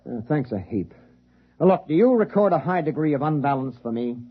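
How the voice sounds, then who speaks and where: Agitated sci-fi major: wry, insistent, animated swings in pitch, dull old-radio sound. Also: male voice, brisk, slightly rough. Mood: sardonic. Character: rattled, insistent military major, a sardonic scientist. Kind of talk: 1950s radio drama acting. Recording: dull, lo-fi vintage radio broadcast.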